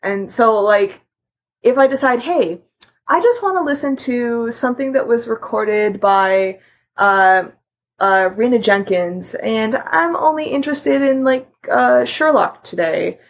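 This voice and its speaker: Female podcast panelist, mid-pitched and raspy, explaining things casually in halting steps, slightly muffled lo-fi sound. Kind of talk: casual chat, explaining a personal method. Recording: muffled lo-fi voice recording.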